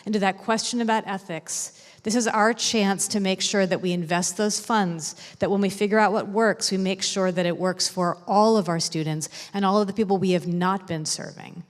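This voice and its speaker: A woman delivers a conference's closing remarks in an emphatic, pointed way, sometimes slipping into mock quotes. Her voice sits at an ordinary pitch. It carries a long reverb from a conference room. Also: steady tone, moderate pace. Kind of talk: closing speech.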